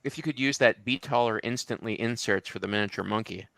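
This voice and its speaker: A man chatting casually and jokingly as a show regular, in a halting, meandering way. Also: on the low side, vocal fry, fairly bright tone, no room sound. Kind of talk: casual comedic chat.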